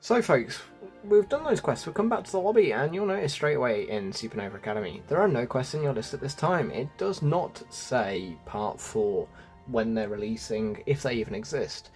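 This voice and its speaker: A man's voice: mid-range voice, lively intonation, normal speed, darkish tone, faint music behind. A man giving a chatty, casual game walkthrough, addressing his viewers directly as host. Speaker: gaming video host.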